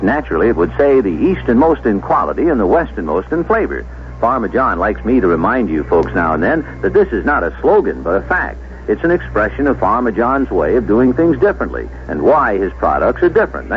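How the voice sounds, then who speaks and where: Very animated American radio pitchman, vintage sound. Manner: folksy, emphatic salesman delivery. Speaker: commercial spokesman. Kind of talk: 1970s radio advertisement read, scripted. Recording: dull lo-fi vintage radio with music.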